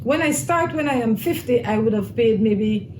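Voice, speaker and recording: A woman's voice: a little high, expressive, normal speed, a little mellow. A woman hosting a talk show, interviewing a guest and restating his answers to check them. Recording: echoey room with noise.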